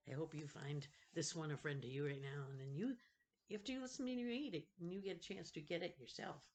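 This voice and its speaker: A woman reading a children's novel aloud expressively, with casual asides and the odd self-correction. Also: sits low. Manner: expressive, stops to correct misreadings.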